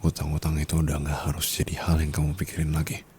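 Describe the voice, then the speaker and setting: A man's voice: completely flat delivery, a little hiss or hum. A man playing a dominant, teasing yet caring sugar-daddy husband in an intimate ASMR roleplay, murmuring and slipping into whispers. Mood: tender, proud. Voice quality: very deep, gravelly, husky. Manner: slow, teasing, soft murmurs dropping into whispers.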